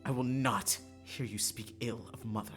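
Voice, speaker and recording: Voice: mid-range voice, steady tone, moderate pace, fairly bright tone, soft background music, lightly acted. Streamer acting cold, haughty young princess. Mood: defensive. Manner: formal, dismissive.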